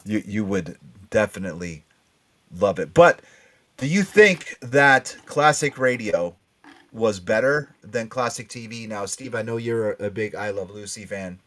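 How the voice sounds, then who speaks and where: A middle-aged American man hosting a casual podcast chat, often halting and repeating words as he steers between topics. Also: lowish voice, lively intonation, fairly bright tone. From Connecticut, United States. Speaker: podcast host.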